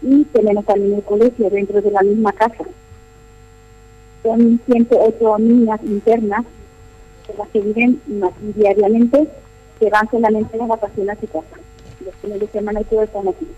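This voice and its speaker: A woman's voice: medium pitch, little pitch movement, moderate pace, mellow, muffled recording. A middle-aged nun answering interview questions in a chatty, explanatory way, often repeating her phrases. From Paraguay. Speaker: nun, missionary at a boarding school.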